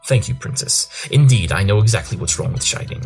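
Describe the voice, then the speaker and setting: A man's voice: on the low side, animated, fairly quick, faint music behind. A man voicing a brilliant, smug pony detective in an audio drama, pompous and self-assured as he explains. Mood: smugly confident.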